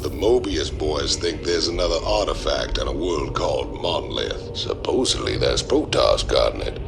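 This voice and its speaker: An actor playing a brash, greedy mercenary who drawls in folksy slang. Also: male voice, very deep voice, animated, moderate pace, vocal fry, noisy background, exaggerated voice. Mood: scheming. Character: brash gravelly mercenary man, greedy and cocky. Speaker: mercenary partner.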